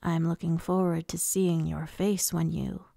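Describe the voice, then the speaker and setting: A woman's voice: lowish voice, level tone, a little slow, creaky, mellow, no echo, studio quality. A woman voicing a taunting, casually cruel immortal male lord in an audiobook fantasy story, with a purring menace. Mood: taunting, menacing. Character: menacing, smooth, mocking immortal male High Lord villain.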